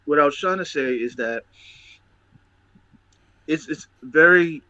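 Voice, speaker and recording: A man's voice: fairly high pitch, takes it slowly, sharp tone, no room sound, dull audio. A man talking emphatically about faith as a host, often repeating words as he builds a point. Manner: preachy, emphatic, repeats himself. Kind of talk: casual chat.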